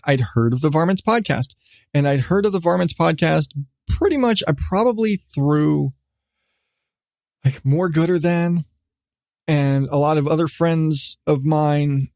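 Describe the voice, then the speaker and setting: A middle-aged man hosting a casual solo podcast, talking in a rambling, halting way with repeated restarts. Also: fairly high pitch, creaky, a little mellow, dry recording, studio quality. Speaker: podcast host.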